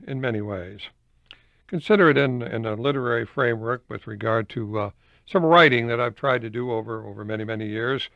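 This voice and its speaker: An older man tells wry personal stories as a radio host, in a folksy manner that circles back on phrases. His voice is gravelly and creaky. The sound is a dry, dull cassette recording of a radio show. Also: medium pitch, no echo. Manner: chuckles often, folksy, repeats phrases for emphasis. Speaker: radio host and former professor. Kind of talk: humorous personal storytelling.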